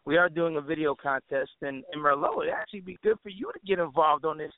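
Male interview guest, slightly high voice, easygoing and slangy, on a narrow, dry phone connection. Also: fast talker, dry recording. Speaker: guest, musician and entertainer. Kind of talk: casual interview chat. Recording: tinny dry phone line.

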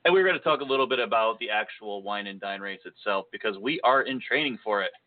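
A man's voice: on the low side, fast. A man hosting a podcast in casual chat, joking and poking fun at himself. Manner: joking, self-deprecating. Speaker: podcast host. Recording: tinny phone-like audio.

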